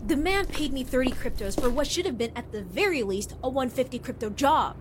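A woman's voice: sits high, animated, brisk, background noise. A woman playing a brash, defiant young courier driver in an audio drama, indignant and punchy.

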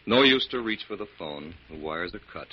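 An actor playing a menacing burglar in a 1940s radio crime drama, cold, commanding and threatening. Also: male voice, low voice, lively intonation, moderate pace. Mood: cool menace. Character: menacing male burglar threatening a wealthy woman. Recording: muffled, noisy 1940s radio recording.

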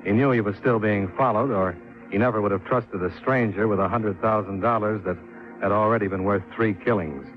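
An actor playing a cool, shrewd insurance investigator in a 1950s radio drama, speaking and narrating in a clipped, dry, matter-of-fact way. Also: male voice, fairly low pitch, steady tone, fairly quick, natural voice. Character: cool, shrewd freelance male insurance investigator, wry and self-reliant. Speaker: lead actor and narrator of a radio detective serial. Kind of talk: 1950s radio drama acting, first-person hard-boiled narration. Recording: muffled 1950s radio recording with music.

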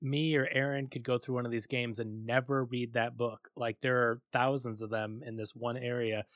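A man co-hosting a casual gaming podcast, talking fast and animated with many fillers. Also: mid-range voice, dry recording, clean studio sound. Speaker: podcast co-host. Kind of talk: casual chat.

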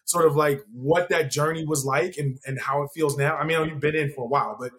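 A man's voice: a little high, fairly quick, fairly bright tone. An American man hosting an interview in a casual, conversational way, informal and encouraging toward the guest. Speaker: interview show host.

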